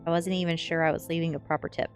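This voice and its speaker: A woman's voice: on the low side, little pitch movement, racing. A woman streamer reading a gothic teen story aloud in an audiobook style of narration. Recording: faint music behind. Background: faint music.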